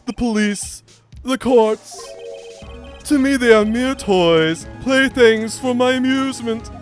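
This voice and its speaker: A man's voice: extremely high pitch, slow pace, soft background music, pitched above the performer's own voice. A man voicing a smug, entitled corporate villain for a video game, pompous and theatrical with flowery made-up words. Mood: grandiose, self-satisfied. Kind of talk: video game character voice-over.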